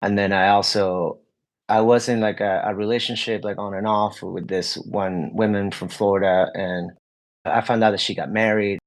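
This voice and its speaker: A man's voice: lowish voice, steady tone, moderate pace. A young adult man, a guest musician, answering interview questions openly in a rambling way, full of "like" fillers and restarts.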